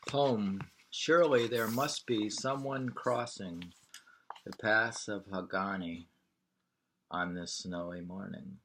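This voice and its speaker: A man reading poetry and travel prose aloud informally, in a halting, deliberate way. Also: on the low side, fairly bright tone, poor audio. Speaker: reader of a poetry travel sketch.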